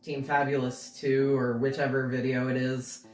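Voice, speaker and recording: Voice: mid-range voice, flat delivery, normal speed. An interview host chatting informally and casually, often putting in short acknowledgments. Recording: roomy echo, distant mic, music behind.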